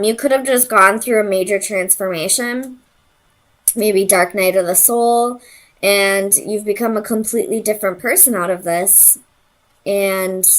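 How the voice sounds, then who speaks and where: A woman with a medium-pitched voice reads tarot in an informal way. She addresses the listener directly, as a host would. Her phrasing is loose and tentative, as if she is working out each thought aloud. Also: rough recording.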